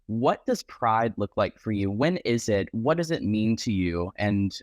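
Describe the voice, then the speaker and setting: A man's voice: fairly low pitch, expressive, a little slow, no room sound, studio quality. A man hosting a casual interview, affirming his guest and laughing often.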